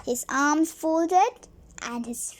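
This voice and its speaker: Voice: high voice, very slow. A child reading a storybook aloud, stumbling over words and repeating phrases now and then.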